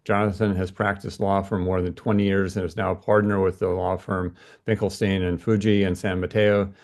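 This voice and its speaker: Male interviewer, lower-pitched voice, measured formal delivery of prepared material. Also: little pitch movement, gravelly, darkish tone. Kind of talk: prepared introduction and interview questions.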